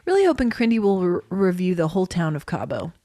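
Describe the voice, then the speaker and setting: A woman's voice: lowish voice, very animated, quick pace, dark tone, no echo. A woman co-hosting a podcast in casual, bantering conversation. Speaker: podcast co-host.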